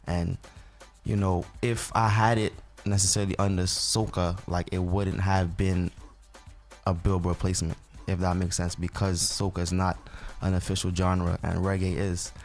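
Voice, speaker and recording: A man's voice: sits low, little pitch movement, moderate pace, faint music behind. A man from Barbados with an accent that slips between Bajan and American, answering interview questions in a laid-back, rambling way full of fillers. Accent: Barbadian mixed with American. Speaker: soca artist.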